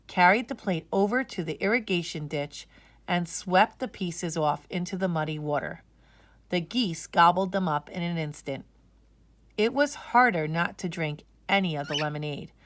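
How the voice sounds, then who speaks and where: A woman narrating a children's story aloud in an expressive, measured way. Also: on the low side, dry recording.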